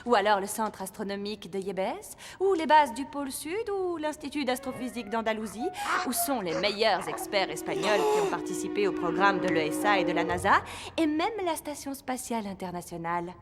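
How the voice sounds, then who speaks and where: A woman's voice: medium pitch, lively intonation, fairly quick, loud music behind the voice, lightly acted. Woman voicing an echoing, eager cartoon science student. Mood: confident. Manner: talkative, self-assured. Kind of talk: dubbed cartoon acting.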